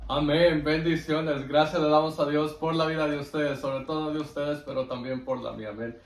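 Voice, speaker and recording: A man's voice: on the high side, fairly even intonation. Man, distant echoey recording. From Guatemala. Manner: devout, earnest, halting. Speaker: interview guest giving testimony. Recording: roomy echo, distant microphone.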